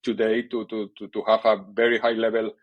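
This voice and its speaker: A middle-aged man from Catalonia with a Catalan Spanish accent, speaking English as a second language and answering interview questions haltingly, with many fillers and repeated words. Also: fairly low pitch, flat delivery, dull audio. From Catalonia, Spain. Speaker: TV sports director, guest.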